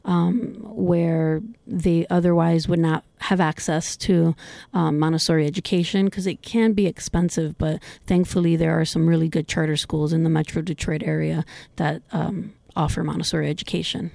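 A woman's voice: low-pitched, level tone, normal speed, gravelly, dark tone, dry recording. A woman in her thirties, a native Spanish speaker, talking earnestly as a guest musician and teacher in a casual interview, with frequent ums and repeated words. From United States, Cuban family.

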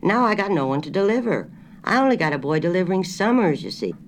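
A reader voicing a suspicious, blunt older country grocer who speaks loudly and firmly. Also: mid-range voice, very animated, quick pace, slight background noise, pitched above the performer's own voice. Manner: loud, blunt, firm.

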